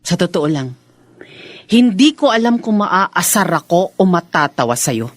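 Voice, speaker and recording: An actress playing a defiant, scornful wife in a Filipino radio drama, cool and mocking in manner, with a Filipino accent. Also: female voice, fairly low pitch, big pitch swings, a little slow, no room sound. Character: defiant, scornful second wife accused of infidelity.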